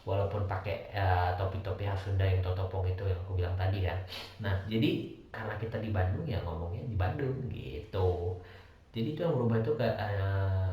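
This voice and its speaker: A young man from Medan with a softened Medan accent, hosting a casual solo podcast, rambling with many fillers and self-corrections. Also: low-pitched, muffled recording. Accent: Medan Indonesian, softened. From Medan, North Sumatra, Indonesia. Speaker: podcast host. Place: small rented room, indoors. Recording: very echoey small room.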